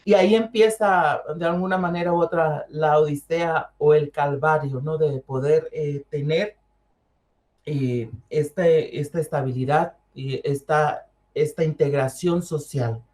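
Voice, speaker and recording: A woman's voice: deep voice, steady tone, unhurried, darkish tone. Young Mexican woman, panel guest, candid and conversational, speaking with frequent fillers. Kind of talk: online panel discussion.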